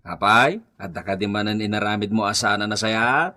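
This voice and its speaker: A man's voice: fairly low pitch, normal speed, heavy background noise, lightly acted. A man playing a teasing, amused buddy who drawls and hums, in an Ilocano radio comedy drama.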